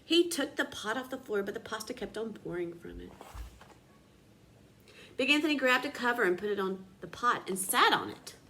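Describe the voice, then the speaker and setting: A woman reading a children's storybook aloud in an expressive, dramatic teacher's manner. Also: a little high, moderate pace, slight background noise.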